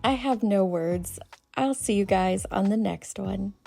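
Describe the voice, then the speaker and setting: A woman with a noticeably creaky voice and mid-range pitch talks casually to her audience as a commentary host. Music plays behind her. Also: unhurried, mellow. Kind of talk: casual spoken commentary to viewers.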